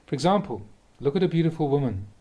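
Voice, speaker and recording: Male monk reading aloud; animated, melodic delivery, calm and reflective. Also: on the high side, fast. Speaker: Buddhist monk reading teachings. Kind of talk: reading a dharma talk aloud.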